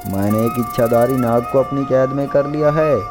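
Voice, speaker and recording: A man playing an eager, earnest snake charmer in an animated folk story. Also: medium pitch, moderate pace, over music, natural voice. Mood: gleeful greed. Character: eager, money-hungry male snake charmer, softening for family.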